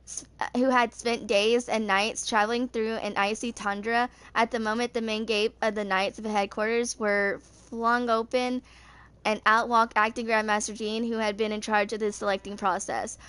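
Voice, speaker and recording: A woman's voice: on the high side, little pitch movement, moderate pace, fairly bright tone, clean tone. A woman in her twenties reading story text aloud in an amateur way, stumbling over words and correcting herself. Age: 20s. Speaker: video creator reading game lore aloud.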